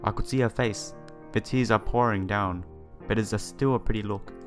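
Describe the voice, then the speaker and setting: A man reading visual novel text aloud as a let's player, adding his own asides in halting phrasing. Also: on the low side, steady tone. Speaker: video game let's player. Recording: music behind the voice. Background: game music.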